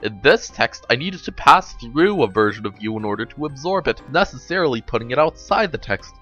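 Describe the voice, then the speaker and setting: A man's voice: medium pitch, expressive, fairly quick, pushed and bright, faint music behind. A man voicing a sardonic, blunt young woman who lectures knowingly in a dramatic storybook reading. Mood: pointed, probing. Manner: wry, lecturing, profane.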